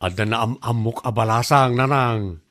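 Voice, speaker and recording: A man playing a simple, excitable middle-aged bachelor in an Ilocano radio comedy drama, with an Ilocano accent. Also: medium pitch, moderate pace, lightly acted. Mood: bashful, excited.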